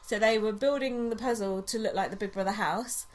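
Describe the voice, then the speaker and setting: A woman's voice: medium pitch, fairly quick, darkish tone. A woman co-hosting a podcast, chatting casually and mockingly about a reality show and laughing often. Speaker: podcast co-host.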